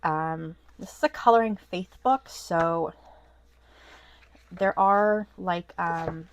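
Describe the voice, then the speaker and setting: Low-voiced nasal woman with a cold. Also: slow pace, slight background noise. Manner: chatty and rambling, many ums and restarts. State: stuffy nose from a cold. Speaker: vlog host. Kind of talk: casual haul vlog chat. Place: at a home desk.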